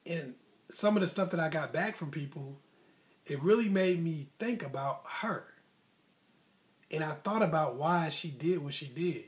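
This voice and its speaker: A man's voice: fairly high pitch, expressive, a little slow. A man hosting an advice vlog, telling a personal story in a casual, colloquial, conversational way and voicing remembered dialogue. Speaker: online advice host telling personal stories.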